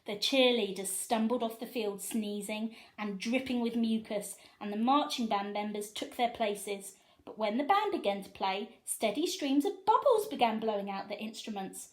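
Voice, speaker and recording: A woman reading a children's storybook expressively, pausing to put questions to her young listeners. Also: a little high. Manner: animated, asks listeners questions.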